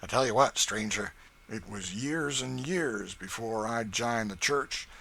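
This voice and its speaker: A man voicing a big, gruff, honest old Kentucky farmer, talking louder and slower in a drawl. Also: fairly low pitch, exaggerated voice. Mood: pitying, earnest.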